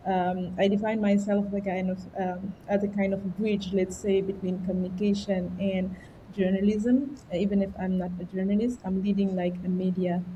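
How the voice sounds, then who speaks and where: Cheerful woman on a webinar call, mellow voice, kinda playful. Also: medium pitch, level tone, moderate pace, highs cut off, a little hiss or hum. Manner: playful, cheerful with filler words. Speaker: media platform leader. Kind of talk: webinar introduction. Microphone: video call.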